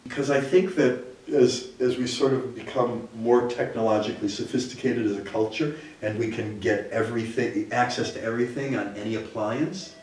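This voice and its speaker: A man giving a reflective interview in a halting, thoughtful way. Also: medium pitch, a little mellow. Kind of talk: reflective documentary interview talk. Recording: very echoey, distant from mic.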